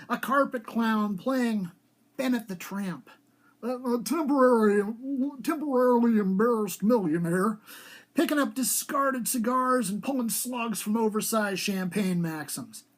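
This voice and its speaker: A man speaking as an author at a live reading of short fiction, with an emphatic storytelling delivery. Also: high voice. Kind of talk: live dramatic literary reading.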